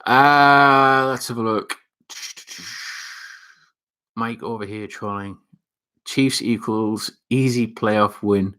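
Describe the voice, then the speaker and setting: A man's voice: medium pitch, fairly even intonation, slow pace, long pauses, bright, forceful tone, clean tone. A young man with a Northeast England accent, hosting a casual live chat and reading viewer comments, rambling with lots of fillers and restarts. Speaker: livestream host reading chat.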